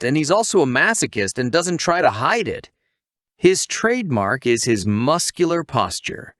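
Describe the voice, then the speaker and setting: A man narrates a scripted anime recap at a leisurely pace. His pitch moves up and down in an animated way, and the sound is close and dry. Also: dry recording.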